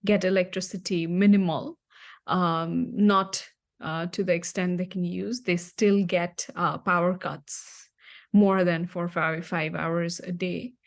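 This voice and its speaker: A woman's voice: lowish voice, level tone, slow pace, vocal fry. A young woman from Nepal, a guest answering in a podcast interview with many fillers and restarts.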